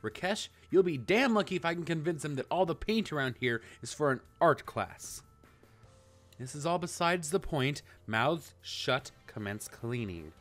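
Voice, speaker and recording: A man's voice: on the high side, expressive, moderate pace, a bit bright, soft background music. A man voicing an exasperated, bossy young male dorm leader in a visual novel, indignant and commanding. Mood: furious, scolding. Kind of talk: visual novel character read-out.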